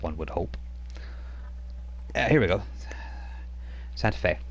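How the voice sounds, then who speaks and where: A man's voice: deep voice, lively intonation, slow, slight background noise, poor audio. A man running a tabletop role-playing game as game master, chatty and rambling, laughing often as he talks.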